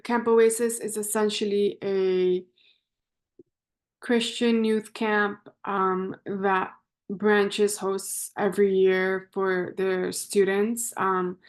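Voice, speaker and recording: Slow, even-toned young woman. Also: mid-range voice. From Miami, Florida. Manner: rambling, self-correcting, conversational. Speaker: student introducing herself to a class. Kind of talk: casual self-introduction.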